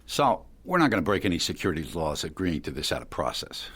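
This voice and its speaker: An actor playing a cool, hard-nosed younger tech dealmaker in an audio drama, blunt, controlled and condescending. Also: male voice, sits low, sing-song, quick pace, fairly bright tone, husky, heavily acted. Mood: guarded, businesslike.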